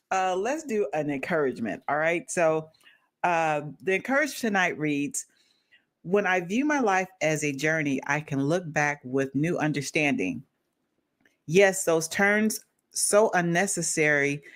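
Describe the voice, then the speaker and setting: A woman hosting a live online show, excited and enthusiastic, greeting viewers and interviewing a guest. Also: sits low. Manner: excited, enthusiastic, repeats exclamations. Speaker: host. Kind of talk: upbeat live-stream interview.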